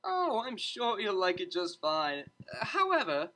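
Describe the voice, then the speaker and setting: A woman's voice: medium pitch, sing-song, unhurried, a bit bright, pitched above the performer's own voice. A woman voicing a cheerful, unflappable male pony tutor in a story, chatty, upbeat and coaxing. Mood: breezy.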